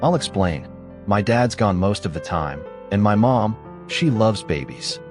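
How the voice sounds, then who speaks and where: A man's voice: fairly low pitch, lively intonation, music in the background. A man playing a worried, protective rich bad boy in his teens in a roleplay drama, earnest and firm. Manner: earnest, halting then firm. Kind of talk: dramatic roleplay story acting.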